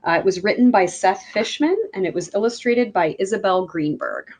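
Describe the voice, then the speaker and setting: A woman reading a picture book aloud like a teacher, with deliberate, emphatic phrasing for young listeners. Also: medium pitch, animated, darkish tone. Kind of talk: children's read-aloud.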